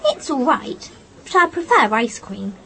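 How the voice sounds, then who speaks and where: A woman's voice: high voice, sing-song, a little slow, pushed and bright, full character voice, low-quality recording. A young female performer in a radio drama playing an earnest, frank little English schoolgirl. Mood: frank, chatty.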